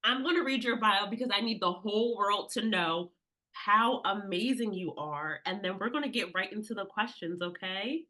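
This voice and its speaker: A woman's voice: medium pitch, moderate pace, pushed and bright, poor audio. A woman hosting a podcast interview, effusive and gushing with praise for her guest. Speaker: podcast host. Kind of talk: enthusiastic interview.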